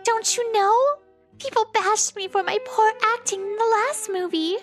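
A woman voicing a very high, girlish young actress. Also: steady tone, normal speed, faint music behind. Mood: earnest. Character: young woman actress posing as lunch lady. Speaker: actress character. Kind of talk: manga dub voice acting.

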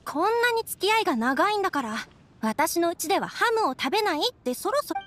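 Very high-voiced young townswoman. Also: big pitch swings, brisk, fairly bright tone, exaggerated voice. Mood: exasperated. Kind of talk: anime game character acting. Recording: game music behind the voice.

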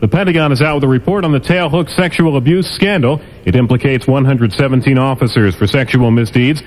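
A man as a radio newscaster, reading a news and sports update in a crisp, measured way. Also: medium pitch, a little mellow, low-quality recording. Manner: crisp, measured newsreader delivery.

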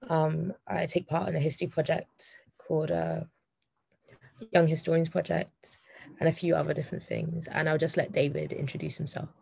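A woman's voice: low voice, level tone, normal speed, creaky, darkish tone, slightly rough, no echo. A woman facilitating a community meeting over a video call, speaking with frequent hesitations. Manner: hesitant, many ums.

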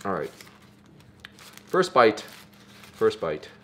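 A young man in his twenties, for whom English is not his first language, talking casually to camera in a vlog, excitable and slangy, often saying "dude" and "freaking". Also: lowish voice, extremely slow. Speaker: vlogger. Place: car, then kitchen, then living room, indoors.

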